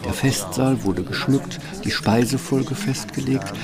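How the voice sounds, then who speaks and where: A man's voice: medium pitch, a little slow, crowd noise. A man narrating a scripted fairy tale as the storyteller of a radio play.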